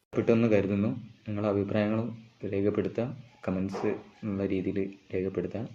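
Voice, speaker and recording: A man. He moves step by step in short, halting phrases, like an amateur vlogger. The recording is somewhat dull and lo-fi. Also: fairly low pitch, little pitch movement, warm tone, slight background noise. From Kerala, India. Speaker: amateur vlogger giving an app tutorial. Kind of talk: informal step-by-step tutorial narration.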